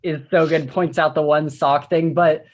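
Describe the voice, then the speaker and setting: A man's voice: on the high side, steady tone, normal speed. Enthusiastic man chatting casually about books. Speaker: podcast co-host. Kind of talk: casual book discussion.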